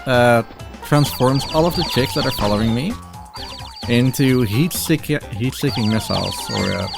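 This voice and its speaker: A man giving casual live commentary as he speedruns a game, halting and repeating phrases as he goes. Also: medium pitch, gravelly. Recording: game music behind the voice.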